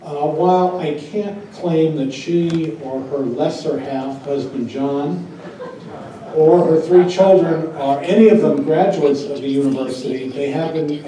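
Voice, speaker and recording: A man's voice: fairly high pitch, warm tone. A man, a university official, giving formal welcome remarks, hesitant and full of filler sounds, with a dry joke. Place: university event hall. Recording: echoey hall, distant mic.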